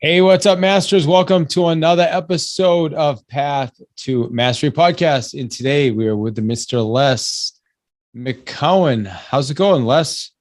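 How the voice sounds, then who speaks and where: A man's voice: on the high side, unhurried, soft background music. A man hosting a podcast, greeting his guest in an upbeat, casual, slangy way. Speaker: podcast host. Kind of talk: casual chat.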